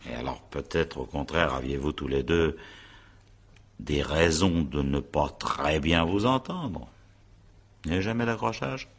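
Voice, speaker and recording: A male examining magistrate in a radio crime drama. The voice is extremely low and husky. He questions with patience, small hesitations and courteous irony, as a shrewd official who takes his time. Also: animated, a little slow, heavily acted. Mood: insistent. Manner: hesitant, probing, politely ironic.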